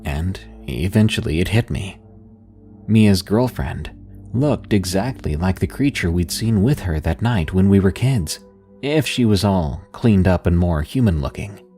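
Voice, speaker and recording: A man narrating scary stories in a slow, hushed storytelling manner, with soft music behind him. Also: low voice, expressive. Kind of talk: scripted horror narration.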